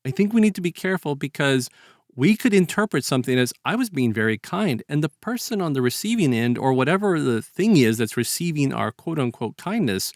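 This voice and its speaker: A man's voice: fairly high pitch, very animated, brisk, no echo, studio quality. An American man co-hosting a podcast, talking casually in a reflective, hedging way with wry humor. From South Texas, United States (lives there). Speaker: podcast co-host. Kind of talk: casual discussion.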